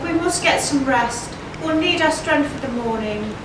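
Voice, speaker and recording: A woman's voice: sits high, lively intonation, normal speed. A woman playing a bold, teasing pantomime outlaw hero with theatrical, heroic delivery. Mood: curious. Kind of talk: stage pantomime acting. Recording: very echoey hall, distant mic, clear noise.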